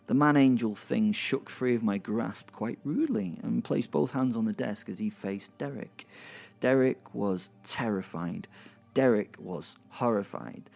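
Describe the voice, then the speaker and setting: A man's voice: fairly low pitch, measured pace, creaky, a little mellow, soft background music. A man narrating a dramatic story in the first person, conversational and wry, with rambling, chatty asides. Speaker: narrator and host.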